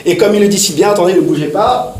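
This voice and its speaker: A man's voice: high voice, fast talker, a little mellow, roomy sound, slight background noise. Chatty man with a voilà habit. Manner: chatty, filler-heavy with repeated "voilà". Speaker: entertainment news columnist. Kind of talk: casual studio chat. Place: TV studio set in Réunion.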